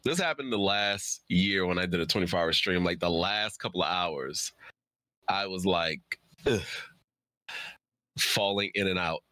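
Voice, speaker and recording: A man with a fairly low but bright, forward voice, recorded dry and close. He is a tired livestream host chatting casually. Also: unhurried, no room sound. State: fatigued. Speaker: live streamer and host.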